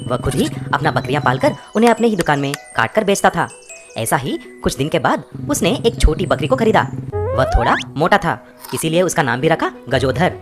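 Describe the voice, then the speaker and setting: A woman narrating a children's cartoon tale. Her voice sits low but moves lively through the story. Clear background music plays throughout. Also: a little fast. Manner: expressive storytelling. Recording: music bed behind.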